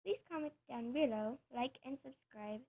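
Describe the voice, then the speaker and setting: A woman's voice: on the high side, normal speed, rough recording. A woman vlogger chatting casually to her viewers, rambling and correcting herself as she explains things. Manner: rambling, self-correcting, soft-spoken. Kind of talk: casual chat to camera.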